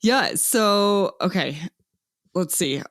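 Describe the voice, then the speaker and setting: A woman's voice: mid-range voice, lively intonation, extremely slow, a bit bright, dry recording, studio quality. A woman hosting a podcast interview, asking encouraging follow-up questions. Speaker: podcast host.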